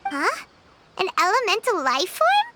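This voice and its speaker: A woman voicing a tiny, childlike, excitable fairy companion with a squeaky voice and cute, exclamatory delivery in a video game. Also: unhurried, very noisy, heavily acted. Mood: curious, puzzled. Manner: exclamatory, cute third-person chatter.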